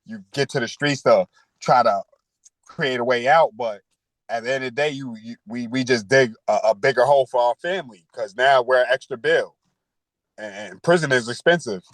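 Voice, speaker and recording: A man's voice: medium pitch, normal speed, fairly bright tone, no room sound, rough recording. Man in his thirties, candid street talk. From New York. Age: 30s. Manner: street slang, frequent "you know" and "word". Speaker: podcast guest.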